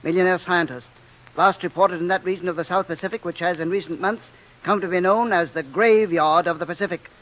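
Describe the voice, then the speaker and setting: An actor in a vintage radio drama playing an earnest, exasperated Navy admiral, formal and emphatic. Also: male voice, high-pitched, steady tone, fairly quick, lightly acted. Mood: grave, official. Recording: muffled old radio recording.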